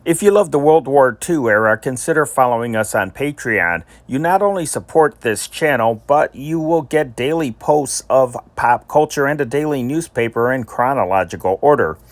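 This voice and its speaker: A man's voice: mid-range voice, expressive, moderate pace, few pauses, no room sound. Dry-sounding male promotional narrator. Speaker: channel narrator.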